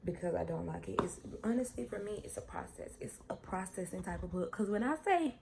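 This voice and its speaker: A woman's voice: mid-range voice, animated, fairly quick, vocal fry, background noise. A woman, chatting casually and heartfelt in a vlog, rambling and repeating herself. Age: 20s. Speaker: vlogger.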